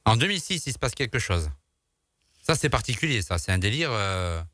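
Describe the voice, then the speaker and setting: A man's voice: fairly low pitch, sing-song, a little fast, sharp tone, no echo, clean studio sound. A man hosting a talk show, asking questions in a casual, teasing way. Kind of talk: casual interviewing.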